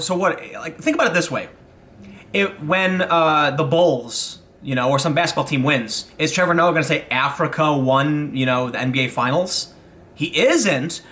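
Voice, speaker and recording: A man's voice: on the high side, pushed and bright. A young American man giving an opinion monologue, worked up and stumbling over restarts as he argues. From United States. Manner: agitated, rapid restarts and stammers. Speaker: political commentator.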